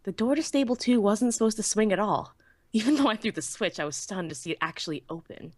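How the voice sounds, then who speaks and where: A woman's voice: mid-range voice, lively intonation, fast, a little mellow, no room sound, studio quality. A woman narrating a scripted first-person story dramatically, as in a fan audiobook.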